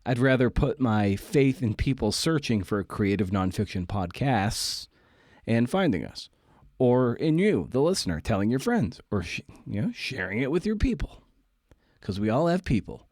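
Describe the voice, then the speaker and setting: A man hosting a podcast in a casual, confessional monologue, halting and self-deprecating, with casual swearing. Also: medium pitch, very animated, a little mellow, dry recording, studio quality. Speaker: podcast host. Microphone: close podcast microphone.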